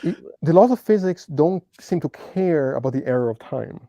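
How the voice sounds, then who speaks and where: A man speaks English as a second language in a gravelly, mellow voice. He talks slowly and thoughtfully, pausing with frequent ums as he searches for the right word. The sound is dry and close. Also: a little high, animated, no room sound, dull audio. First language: not English. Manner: hesitant, searching for words, many ums. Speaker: debate guest. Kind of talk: casual philosophical discussion.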